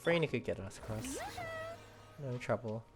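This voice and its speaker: A player gives loose, casual gameplay commentary, half to themself. The pitch sits in an ordinary middle range, and game music plays behind the voice. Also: huge pitch swings, moderate pace. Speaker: player streaming a video game playthrough.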